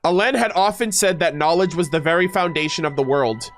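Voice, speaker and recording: Male gaming streamer, high-pitched, informal and slangy, reading and reacting over clear background music. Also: brisk. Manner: informal, slangy, animated asides. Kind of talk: casual reaction commentary mixed with reading aloud. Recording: music playing behind the voice.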